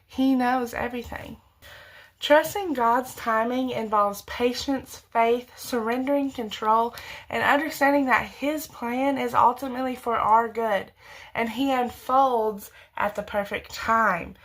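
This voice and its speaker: A woman's voice: on the high side, fairly even intonation, a little slow, fairly bright tone, low-quality recording. A young woman, about twenty, giving earnest, rambling faith advice straight to viewers, with frequent restarts and self-corrections. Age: young adult. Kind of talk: casual faith talk to camera.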